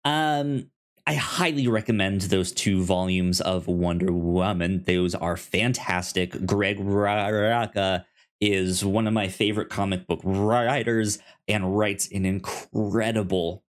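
A man co-hosting a podcast in casual chat about comics, speaking haltingly with stammered, repeated syllables and words. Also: low-pitched, fairly even intonation. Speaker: podcast co-host.

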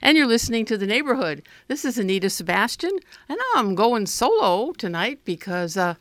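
A woman's voice: medium pitch, sing-song, normal speed, a bit bright, no echo. Casual woman hosting local radio, dry studio. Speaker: radio host.

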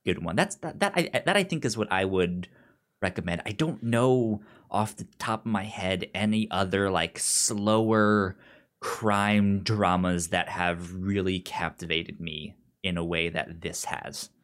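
A man co-hosting a film podcast, chatting casually and haltingly as he searches for words. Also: deep voice, a bit bright. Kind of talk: casual film discussion and recommendations.

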